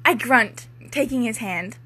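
A woman's voice: fairly high pitch, unhurried, sharp tone, breathy edge. A young woman reading amateur fiction aloud in a mock-dramatic way, with giggly, informal joking banter.

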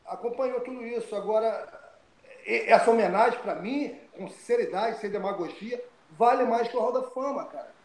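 An older man from Brazil, telling stories of his start in fighting as an interview guest, animated and often restarting his words. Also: extremely high pitch, moderate pace, roomy sound, low-quality recording. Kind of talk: storytelling interview.

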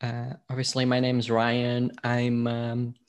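A man's voice: mid-range voice, monotone, measured pace, smooth. A man from Portugal, a podcast host now being interviewed, talking casually with many fillers and restarts.

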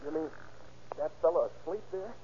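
An actor playing a nervous, meek young clerk with a husky voice and a hesitant, pleading manner in an old-time radio crime drama. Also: male voice, fairly high pitch, fairly quick, dark tone. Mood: meek, frightened. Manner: hesitant, timid, politely pleading. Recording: dull vintage radio recording.

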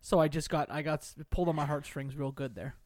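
A man's voice: a little high, fairly even intonation, quick pace, a little mellow, no room sound. A man guesting on a podcast, telling casual, self-deprecating personal anecdotes.

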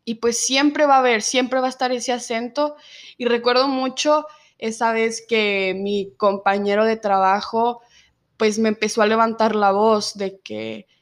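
Young mexican woman, 19, mexican accent, slow and thoughtful, lots of little pauses, kinda high voice. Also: steady tone. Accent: Mexican Spanish. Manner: reflective, many filler pauses. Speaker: interviewee. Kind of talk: personal testimony in an interview.

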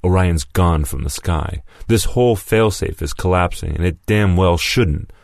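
A man voicing Mason, a gruff, defiant war veteran who speaks in low, clipped lines. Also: measured pace, darkish tone, no echo, studio quality.

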